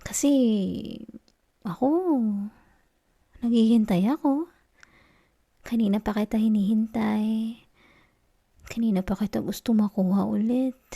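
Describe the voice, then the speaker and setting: A woman playing a needy, affectionate wife in an intimate ASMR roleplay, with a Filipino accent and a soft, coaxing, pouty delivery. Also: medium pitch, vocal fry. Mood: wistful, longing. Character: needy, affectionate wife, sulky yet tender and teasing.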